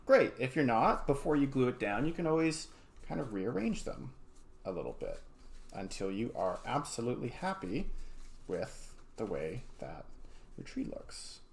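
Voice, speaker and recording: A man's voice: medium pitch, big pitch swings, moderate pace. A man teaching a casual craft demonstration in an encouraging, easygoing way, murmuring little asides as he works. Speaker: art teacher. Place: home studio. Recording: clear background room noise.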